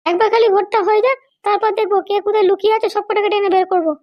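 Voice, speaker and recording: A performer voicing a scheming, haughty woman politician in a cartoon comedy, bossy, scolding and fond of sharp questions. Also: extremely high pitch, little pitch movement, rapid-fire, dry recording, highs cut off. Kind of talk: amateur cartoon comedy dialogue.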